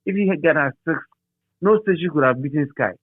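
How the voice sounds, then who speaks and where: A middle-aged man with a Ghanaian accent speaks in a slightly high voice over a narrow, phone-like line. He reminisces casually, repeating phrases to drive a point home. The sound is dry, with no room around it. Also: brisk, dry recording. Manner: repeats and restates phrases for emphasis. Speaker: interviewee, radio DJ.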